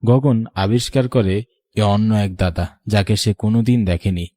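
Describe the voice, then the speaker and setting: A man narrates a scripted ghost story as its storyteller. His tone is mellow and the recording is close and dry. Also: lowish voice, fairly even intonation, a little slow, no room sound.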